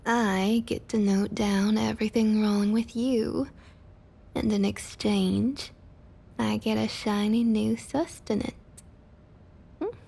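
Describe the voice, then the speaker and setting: A woman acting as a smug female vampire of high rank speaks in a Southern US drawl for an intimate ASMR roleplay. Her voice is mellow and husky, often breathy, with gravelly fry at the edges. She coaxes and condescends without any hurry. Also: exaggerated voice. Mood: persuasive, condescending. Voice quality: soft, breathy, slightly husky. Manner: unhurried, coaxing, condescending sweet talk.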